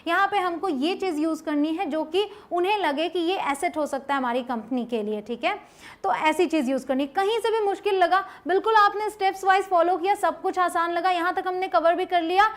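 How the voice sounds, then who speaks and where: A woman with an Indian accent and a high voice gives an English lesson. She explains things one step at a time, slows right down for example sentences, and keeps checking that learners follow. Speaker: English teacher presenting an online lesson.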